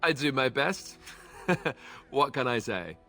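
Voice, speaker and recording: An actor voicing a wry, self-deprecating young warrior in a fantasy video game, loyal, a little awkward and conversational. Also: male voice, mid-range voice, animated, slow, bright, forceful tone, soft background music, full character voice. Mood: wry, joking. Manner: joking, hesitant, conversational.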